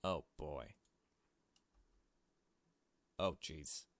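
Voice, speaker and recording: A man's voice: a bass voice, drawn-out words, dry recording. A man doing a let's play, reading a visual novel aloud and adding casual, blunt asides. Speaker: gaming video host.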